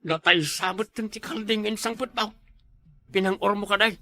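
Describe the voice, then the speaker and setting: A man playing a scheming, excitable village drinking buddy in a comedy drama, exclamatory and stammering, with an Ilocano accent. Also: sits high, normal speed, exaggerated voice. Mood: gleeful, scheming. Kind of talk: Ilocano comedy radio-style drama acting.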